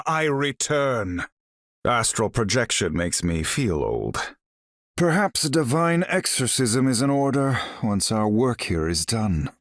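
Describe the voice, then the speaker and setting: A proud male sorcerer supreme from a video game. His delivery is grand and formal, as if every line were an incantation, with very animated pitch swings. The sound is dry and close. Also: no echo. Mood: proud, solemn. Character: proud, battle-ready sorcerer supreme, grandly theatrical hero. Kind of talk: video game character voice lines.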